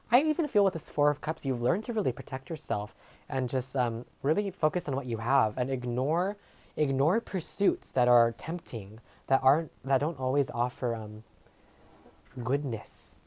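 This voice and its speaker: Woman, Tagalog first language, very low pitch, meandering tarot-reading delivery with lots of fillers. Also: warm tone. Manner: meandering, hesitant, full of fillers and trailing phrases. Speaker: tarot reader. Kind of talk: casual tarot reading monologue. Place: home filming setup. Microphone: overhead camera setup.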